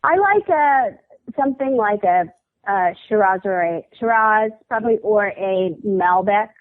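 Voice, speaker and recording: Woman comic guest, chatty and halting, narrow phone-line sound, dry. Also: medium pitch, expressive, no echo. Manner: chatty, halting with many fillers and restarts, laughs often. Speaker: comedian guest. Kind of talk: casual interview chat.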